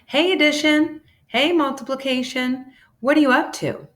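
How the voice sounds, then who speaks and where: A woman's voice: sits high, steady tone, normal speed, a bit bright, voice pushed up. A woman voicing an eager, friendly cartoon math symbol in a children's book, with a perky, exclamatory delivery.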